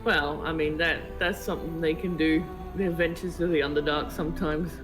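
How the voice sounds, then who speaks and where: A woman's voice: low voice, steady tone, fairly quick. Woman chatting casually over music. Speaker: co-op game partner on a stream. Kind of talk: casual joking chat about a game. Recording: music clearly behind the voice.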